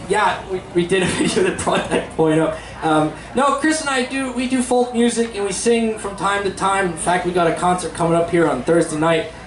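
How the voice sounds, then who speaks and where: A man's voice: sits high, reverberant, slight background noise, poor audio. A man hosting a live festival talk in a seminar tent, chatty and joking as he plugs his videos. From Pennsylvania, USA. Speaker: host and teacher.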